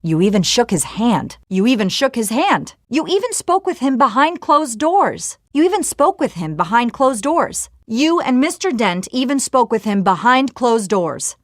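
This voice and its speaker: Pushy game reporter, kinda high voice, pointed and insistent, very dry audio. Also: female voice, sing-song, moderate pace, hardly any pauses, no room sound. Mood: accusing. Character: pushy, probing female newspaper reporter. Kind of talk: video game voice acting.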